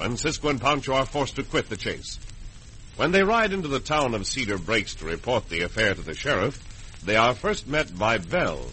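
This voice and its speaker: Dramatic American radio announcer, bright male voice. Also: mid-range voice, slight background noise, poor audio. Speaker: announcer and narrator. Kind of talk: dramatic 1950s radio narration.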